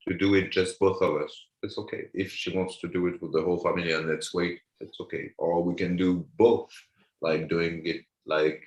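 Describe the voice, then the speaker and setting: A man, chatting casually as a guest comedian, often repeating words as he searches for them. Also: low-pitched. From France. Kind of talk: casual chat. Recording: roomy echo.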